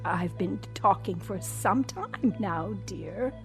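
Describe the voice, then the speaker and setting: An actress playing a genteel, condescending old woman recovering from a stroke in an audio drama, with breathy, halting, deliberate speech. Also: mid-range voice, lively intonation, soft background music. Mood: quietly menacing.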